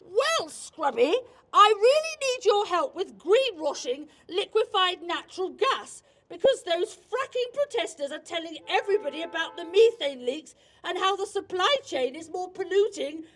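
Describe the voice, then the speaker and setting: A woman's voice: extremely high pitch, big pitch swings, normal speed, fairly bright tone, heavily acted. A woman voicing a greedy fossil fuel boss puppet with a theatrical, exaggerated delivery in a satirical street show. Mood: scheming, complaining.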